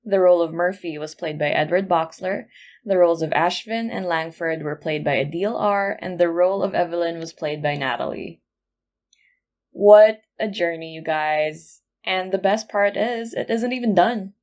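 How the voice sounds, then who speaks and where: A woman's voice: fairly low pitch, darkish tone. A woman podcast producer reading a scripted outro with credits and announcements.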